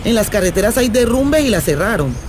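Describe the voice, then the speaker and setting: A woman's voice: medium pitch, sing-song, brisk, noisy background, natural voice. An actress playing a romantic, adventurous woman in love, with a Colombian accent, in a radio drama. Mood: excited, storytelling. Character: romantic, adventurous woman devoted to distant lover.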